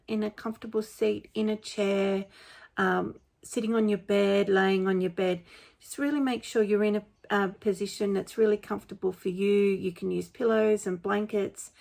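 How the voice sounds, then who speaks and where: A woman leading a guided meditation in a gentle, unhurried, informal and soothing way. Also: medium pitch, vocal fry. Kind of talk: guided meditation, spoken softly to listeners.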